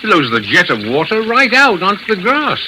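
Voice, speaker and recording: An actor playing a genial, fussy, soft-hearted middle-aged British father and host in an old radio drama, chatty, polite and placating. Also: sits high, wildly swooping pitch, normal speed. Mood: earnest, placating. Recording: noisy, hissy old radio recording.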